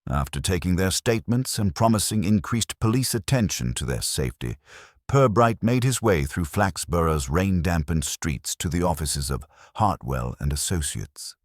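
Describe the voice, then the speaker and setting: A man narrating a scripted mystery story in a measured, literary manner. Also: sits low, lively intonation, no room sound, studio quality.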